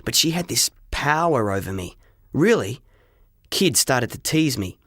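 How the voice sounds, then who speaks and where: Man, audiobook narrator, mid-pitched, dramatic first-person storytelling, expressive, dry recording. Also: a little slow, no echo.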